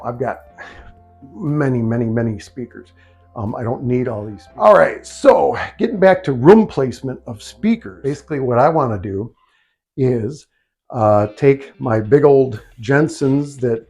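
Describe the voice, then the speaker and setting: An American man talks informally at a middle pitch, as if chatting with a friend. He rambles through his points unscripted, drifting into asides like a hobbyist hosting his own vlog. Faint music plays behind his voice. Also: darkish tone. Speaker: video host, DIY speaker builder. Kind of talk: casual, unscripted vlog talk. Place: small home office used as a studio.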